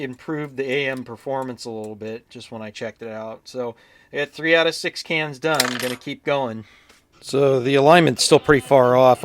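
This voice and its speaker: A man giving a casual, unscripted repair walkthrough, plainspoken and thinking aloud with pauses as he works. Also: mid-range voice. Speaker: hobbyist radio repairer presenting his channel.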